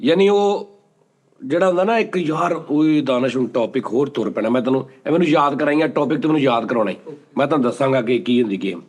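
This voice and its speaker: A man who tells stories and explains things in a casual, animated way, now and then dropping his voice. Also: medium pitch, quick pace. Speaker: storyteller guest, addressed as ustad. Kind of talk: casual storytelling, reading out viewer messages.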